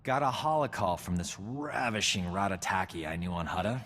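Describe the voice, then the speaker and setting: An actor playing a vain, flirtatious, smooth-talking doctor in a video game. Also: male voice, low-pitched, animated, moderate pace, fairly bright tone, long reverb tail. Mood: scheming. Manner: cocky, self-admiring, smooth-talking. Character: vain, flirtatious male doctor, boastful charming rogue. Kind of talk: video game cutscene acting.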